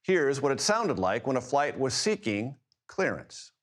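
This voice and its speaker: A man anchoring a news program, reading a script in a formal, deliberate, emphatic way. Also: mid-range voice, big pitch swings.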